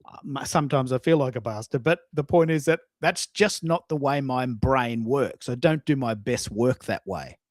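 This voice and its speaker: A man hosts a relaxed conversation with a mid-pitched voice. He is informal and self-deprecating, restarting phrases as he goes. The sound is dry, with little room in it. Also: normal speed, hardly any pauses, dry recording. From Australia. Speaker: host. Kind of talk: casual chat.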